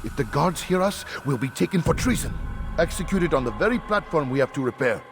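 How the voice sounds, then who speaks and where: An actor playing an anxious, disillusioned city labourer in a video game, speaking with quiet indignation. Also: male voice, fairly high pitch, lively intonation, fairly quick, gravelly, over music. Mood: anxious, bitter. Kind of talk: dramatic video game voice acting.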